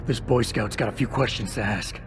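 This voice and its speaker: An actor playing a gruff, guarded cyborg security man in a video game, gravelly, clipped and deadpan. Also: on the low side, fairly quick, music in the background, close to an everyday voice. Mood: menacing, demanding. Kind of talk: video game cutscene acting. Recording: clear background noise.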